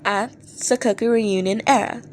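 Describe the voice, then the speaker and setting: A woman reading fan fiction aloud as an expressive amateur audiobook narrator, acting out posts in an animated way. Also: on the high side, moderate pace, slight background noise.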